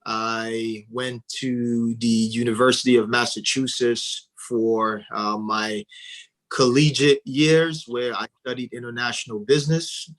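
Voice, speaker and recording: A man's voice: medium pitch, steady tone, fairly bright tone, rough recording. A man in his thirties from Liberia, a radio interview guest, talking earnestly with touches of humor. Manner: earnest, measured, with light humor.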